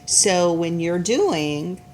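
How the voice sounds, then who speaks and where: A woman hosting a talk show, chatty and rambling, filling in with quick affirmations. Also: on the low side, animated, a little mellow, slight background noise. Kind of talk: casual chat interview.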